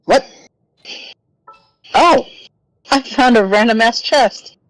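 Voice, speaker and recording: A woman's voice: fairly high pitch, slow, no echo, poor audio. A woman giving casual, playful gameplay commentary, exclaiming and talking to herself as she goes. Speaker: gaming streamer. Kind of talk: casual game playthrough commentary. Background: video game music.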